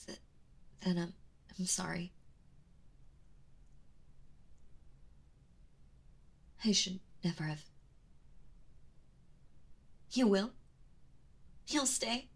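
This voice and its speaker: A woman acting as a soft-spoken yandere woman with a breathy, slightly creaky voice. She is tender and anxiously possessive, pleading haltingly and slipping toward a whisper, as in an intimate ASMR roleplay. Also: mid-range voice, full character voice. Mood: sad, pleading, then overjoyed, tearful. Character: kind, obsessive yandere woman, lovesick and anxiously possessive captor.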